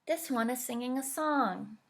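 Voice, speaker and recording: A woman's voice: sits high, a little slow, vocal fry, voice pushed up. A woman voicing a humble, slightly dim female bird who asks small, earnest questions. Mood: wondering.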